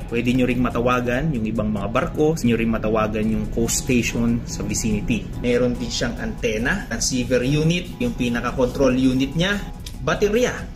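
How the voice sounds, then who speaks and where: A man with a Filipino accent presents a casual, playful instructional lesson in a conversational, informal way. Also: mid-range voice. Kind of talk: casual explainer lesson with jokes. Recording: music bed behind the voice. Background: prominent background music.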